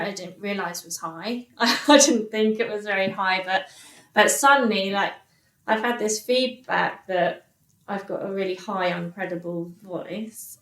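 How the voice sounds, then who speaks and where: A woman in her thirties talks through a personal story as a podcast guest. She speaks at a middling pitch, stumbling and restarting often, quoting back what others said. The room around her rings with echo. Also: a bit bright. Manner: halting, many restarts and fillers, quotes others. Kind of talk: candid interview storytelling. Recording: echoey room.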